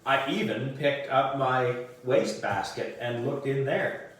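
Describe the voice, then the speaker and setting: A man reading a children's story aloud in an animated way, with dramatic emphasis. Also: mid-range voice, a little slow. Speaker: audiobook reader for a book club. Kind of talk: expressive children's storybook narration. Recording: roomy echo, distant microphone.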